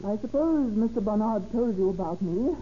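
A woman's voice: mid-range voice, expressive, normal speed, dark tone, close to an everyday voice. An actress playing a cold, scheming, murderous woman in a theatrical, commanding old-time radio drama style. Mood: feigned casual. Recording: dull, noisy old radio recording.

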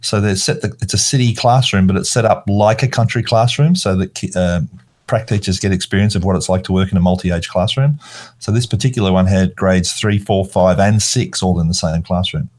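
A middle-aged man from Australia, an art teacher, explaining school systems in a relaxed, casual discussion. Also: sits low, brisk.

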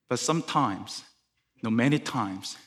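Korean-accented man preaching slowly in church. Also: medium pitch, expressive, fairly bright tone, big-room sound. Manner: careful, halting English with restarts. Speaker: guest preacher. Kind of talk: sermon. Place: church sanctuary.

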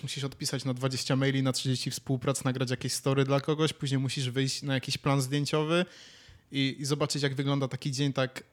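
A man co-hosting a podcast, chatting casually and musing aloud as he searches for words. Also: medium pitch. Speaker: podcast co-host. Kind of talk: casual chat, musing and telling anecdotes.

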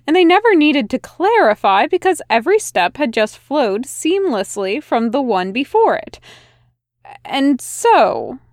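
A woman reading a fan fiction story aloud in a soft, unhurried narration. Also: sits high, no room sound, clean studio sound. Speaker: podfic reader.

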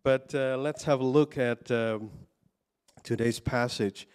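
A man's voice: medium pitch, fairly even intonation, takes it slowly, a little mellow, clean tone. A man from Indonesia giving a sermon, speaking hesitantly with many fillers and restarts, personal and earnest. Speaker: preacher.

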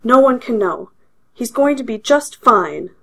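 A woman's voice: a little high, lively intonation, a little slow, vocal fry, fairly bright tone, voice pushed up, exaggerated voice. A woman voicing Santa's distraught wife, snapping through tears. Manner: snappish, strained, tearful.

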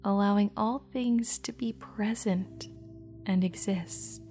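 Female meditation guide, slow, mellow, half-whispered, even delivery over faint music. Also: medium pitch.

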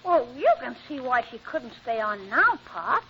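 A man's voice: very high voice, big pitch swings, measured pace, full character voice. A male actor playing an earnest young son, polite and matter-of-fact, in an old radio comedy. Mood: solemn. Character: earnest young son, solemn and loyal to Mom. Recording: dull, noisy old radio recording.

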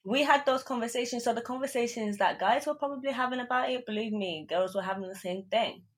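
A woman's voice: fairly high pitch, fast talker. A young woman in casual chat, frank and teasing, with a lot of "like".

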